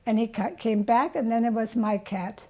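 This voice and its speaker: A woman, telling her childhood story as an interviewee in a slow, reflective way. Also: a little high, a little mellow, highs cut off. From Germany. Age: 80s. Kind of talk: reminiscing about childhood.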